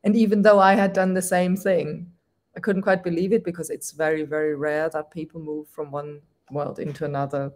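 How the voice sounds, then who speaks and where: Woman, German accent, livestream host, thoughtful, appreciative, slightly low voice. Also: level tone, fairly quick, darkish tone. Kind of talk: livestream discussion.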